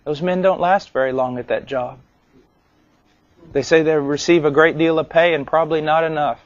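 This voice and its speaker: A man preaching a sermon in an earnest, deliberate way, with rhetorical questions, on a lo-fi recording. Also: fairly high pitch, fairly even intonation, no room sound.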